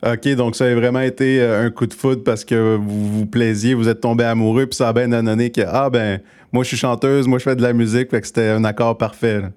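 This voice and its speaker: A man's voice: medium pitch, level tone, a little fast, darkish tone, smooth. A man hosting an interview, asking questions with many fillers. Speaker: interview host. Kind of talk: radio-style interview.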